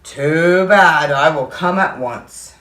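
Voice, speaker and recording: A calm, plain-speaking policeman from a children's storybook, a woman lowering her voice to play him. He talks in a steady, matter-of-fact way. The voice is heard from a distance in an echoey room. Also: slow pace.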